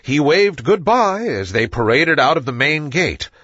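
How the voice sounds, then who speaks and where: A man reads a children's story with a hugely varied, swooping melody. His voice is fairly high and husky, and the sound is dry and close. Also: a little slow, dry recording. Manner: expressive storybook delivery. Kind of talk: scripted children's story narration.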